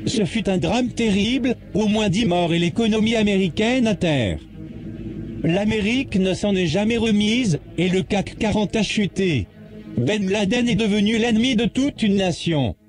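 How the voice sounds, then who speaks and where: A man's voice: high-pitched, lively intonation, moderate pace, a little mellow. A man voicing a coolly treacherous machine helper in a visual novel, explaining things in a matter-of-fact way. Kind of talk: visual novel character dialogue. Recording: faint music behind the voice. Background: music.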